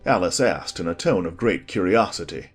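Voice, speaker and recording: A man's voice: low voice, lively intonation, moderate pace, husky, soft background music. A man reading scripted storybook narration as the narrator of an audiobook.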